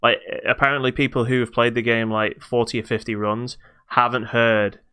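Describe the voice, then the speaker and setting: Bright, clear-voiced man thinking aloud. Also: mid-range voice, flat delivery, normal speed. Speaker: podcast co-host. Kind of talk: casual chat about video games.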